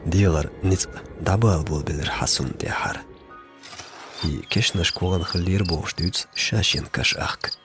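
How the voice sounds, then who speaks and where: A man's voice: low-pitched, takes it slowly, over music. A man, a native Chechen speaker, narrating a film from a script.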